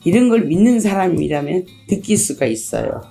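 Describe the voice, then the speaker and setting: A middle-aged woman, a shaman fortune teller, hoarse from a cold, explaining things slowly and plainly in an interview. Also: lowish voice, big pitch swings, warm tone, soft background music. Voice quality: husky, gravelly. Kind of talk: interview answers.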